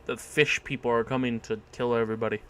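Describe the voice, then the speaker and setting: A man's voice: medium pitch, steady tone, fast talker, a bit bright, a little hiss or hum. A man doing casual live commentary as he streams a game, with a jokey and sometimes profane way of talking. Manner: jokey, profane, echoes lines he has heard. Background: game music.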